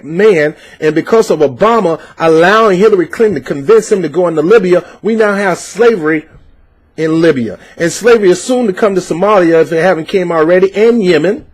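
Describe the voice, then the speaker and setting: A man giving opinionated political commentary, reading out a news article and reacting to it with passionate, preachy emphasis and frequent fillers. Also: high voice. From United States.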